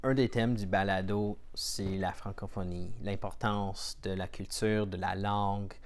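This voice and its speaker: A man's voice: lowish voice, fairly even intonation, a little slow. A man with a Canadian French accent, hosting a podcast and putting questions in a casual, conversational way. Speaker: podcast host, interviewer.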